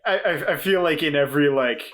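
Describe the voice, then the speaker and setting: A man chatting casually as a player in a tabletop game podcast, feeling his way through ideas out loud. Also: on the high side, brisk. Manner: hesitant, thinking aloud. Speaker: player in an actual-play podcast.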